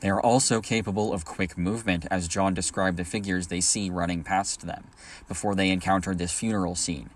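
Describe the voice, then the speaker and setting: A man's voice: low voice, steady tone, brisk, a little hiss or hum. A man delivering a scripted, persuasive argument as a listener submission.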